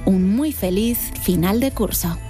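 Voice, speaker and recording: A middle-aged woman from Spain hosting a children's radio show in a bright, friendly, upbeat way. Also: mid-range voice, measured pace, faint music behind. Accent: Spain Spanish. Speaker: radio host.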